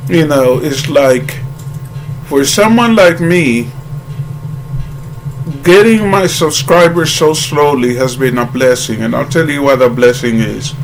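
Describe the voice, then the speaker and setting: A man talking casually to his channel's viewers, rambling with halting restarts and earnest greetings. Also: medium pitch, slight background noise, low-quality recording. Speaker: YouTuber.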